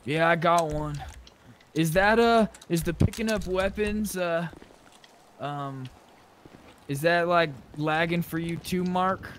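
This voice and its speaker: A man's voice: fairly high pitch, slow, long pauses. A man talking casually in roleplay over online game voice chat. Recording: game voice chat, noisy background.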